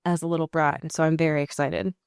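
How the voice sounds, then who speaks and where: An American woman with a slightly low voice chats as a podcast co-host. She is emphatic and sweary, circling back to fix her own sentences. The sound is close and dry. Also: flat delivery, fast talker, gravelly, clear, resonant tone, dry recording. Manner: emphatic, sweary, rambling with self-corrections. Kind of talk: casual TV and film recap chat.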